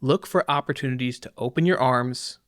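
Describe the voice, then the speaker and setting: A man's voice: mid-range voice, fairly quick, a bit bright, dry recording, clean studio sound. A man hosting a podcast, curious in conversation and asking follow-up questions. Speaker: podcast host. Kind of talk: conversational interview and outro.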